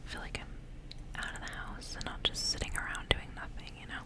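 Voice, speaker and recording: A young woman speaking in a soft, breathy whisper as an ASMR creator. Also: fairly quick. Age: young adult. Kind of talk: whispered ASMR, casual rambling and story reading.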